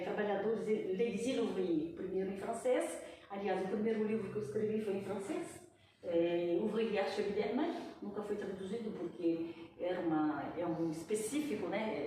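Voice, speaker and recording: A woman's voice: medium pitch, dark tone, distant mic, a little hiss or hum. A woman moderating a literary panel, speaking conversationally with hesitations and passing the floor to each guest, recorded from afar in an echoey room.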